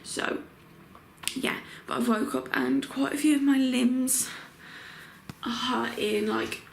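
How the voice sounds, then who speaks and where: Croaky-voiced young pregnant woman vlogging. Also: high-pitched, fairly even intonation, slow, a little hiss or hum. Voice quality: croaky morning voice. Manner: chatty, with constant fillers. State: heavily pregnant. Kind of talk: casual vlog chat. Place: home bedroom.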